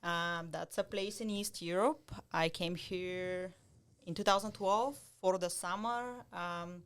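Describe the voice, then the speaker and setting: A woman's voice: fairly low pitch, steady tone, takes it slowly, clean tone. A woman in her thirties from Bulgaria with a Bulgarian accent, a loan officer speaking bluntly and directly as a guest on a podcast. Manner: blunt, direct, laughs easily. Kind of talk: casual podcast interview.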